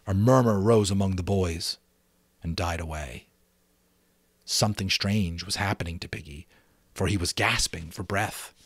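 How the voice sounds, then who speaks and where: A man reading a novel dramatically as an amateur narrator and host, expressive and reflective. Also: sits low, measured pace, pauses often, breathy edge, no echo, studio quality. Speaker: amateur audiobook reader and channel host. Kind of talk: dramatic narration with casual commentary.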